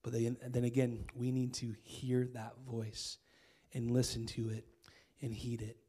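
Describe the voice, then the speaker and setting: A man in his thirties with an American accent preaching a sermon on a church stage into a handheld mic, his voice hoarse from a cold, casual and conversational with many fillers. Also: medium pitch, monotone, unhurried, dark tone. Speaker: pastor. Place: church stage with string lights.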